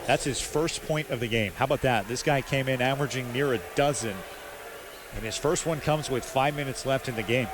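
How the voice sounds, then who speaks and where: A man's voice: medium pitch, fairly quick. An American man giving conversational, opinionated live basketball analysis as a radio color commentator at courtside. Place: courtside in a sports arena. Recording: live arena broadcast with clear background noise.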